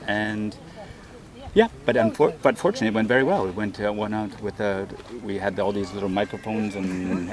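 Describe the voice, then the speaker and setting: A man speaking as a theatre director in a casual interview, hesitant and often pausing mid-sentence. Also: on the low side. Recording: crowd chatter behind the voice. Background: crowd of people.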